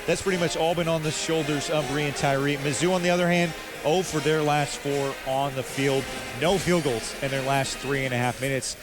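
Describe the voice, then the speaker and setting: A man's voice: on the high side, steady tone, normal speed, music in the background. An American man giving live color commentary and analysis from a noisy arena broadcast. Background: arena ambience.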